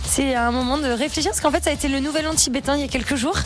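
A woman's voice: high-pitched, steady tone, rapid-fire, soft background music. A woman co-hosting a morning radio show, teasing her colleague in casual banter. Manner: teasing, dry. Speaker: radio co-host and newsroom presenter. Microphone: studio microphone with headphones.

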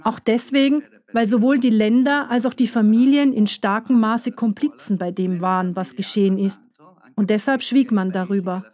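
A woman giving a measured, earnest first-person voice-over for a documentary. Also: on the high side, darkish tone. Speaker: voice-over speaker.

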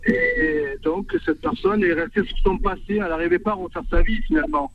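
A man's voice: high-pitched, wildly swooping pitch, brisk, creaky, no room sound. A man, calling in to a radio show from his car, hesitant and reflective with many fillers. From Provence, France. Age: 50s. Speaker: caller. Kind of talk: radio call-in confession. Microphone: phone. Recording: tinny phone line with faint noise.

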